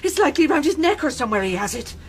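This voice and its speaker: A woman's voice: high-pitched, very animated, rapid-fire. An actress playing an anxious, honest innkeeper mother, stern and fearful, in a radio drama. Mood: stern, urgent. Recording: noisy radio drama with clicks.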